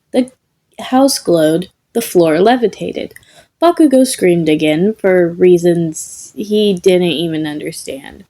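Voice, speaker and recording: A woman's voice: on the low side, warm tone. A woman reading a scripted comedic story as narrator. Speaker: podfic narrator.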